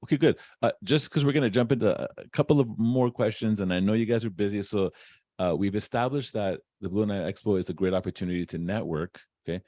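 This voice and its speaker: Man, slightly deep pitch, podcast host, conversational questioning, affirming, close dry recording. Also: quick pace, mellow, no echo. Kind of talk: conversational interview.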